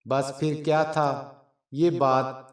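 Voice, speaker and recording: A man narrating a scripted story in a measured, formal storytelling manner. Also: on the high side, little pitch movement. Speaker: channel narrator. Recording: roomy echo, distant from mic.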